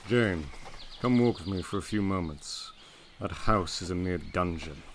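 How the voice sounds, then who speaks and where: An actor playing a brooding, commanding master of a gothic manor in a period audio drama, intense and imperious in manner. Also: male voice, low voice, unhurried, a little hiss or hum. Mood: gently protective.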